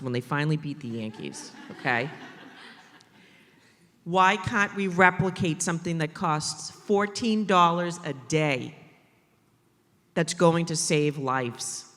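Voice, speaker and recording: A man from the Boston area with a Boston accent, speaking on a conference panel about maternal mental health in an animated way, with joking asides and emphatic repetition. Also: a little high, long reverb tail. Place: conference stage in a large hall.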